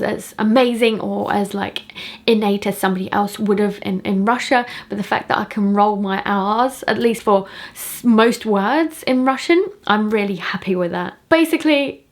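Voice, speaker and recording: Chatty, enthusiastic woman vlogger. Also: mid-range voice. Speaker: parenting vlogger. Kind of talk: casual chatty vlog to viewers.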